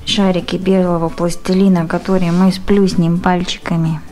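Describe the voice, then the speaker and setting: A woman's voice: lowish voice, flat delivery, moderate pace, darkish tone, faint music behind. A woman giving step-by-step craft instructions in short phrases, full of fond diminutives. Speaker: craft tutorial presenter.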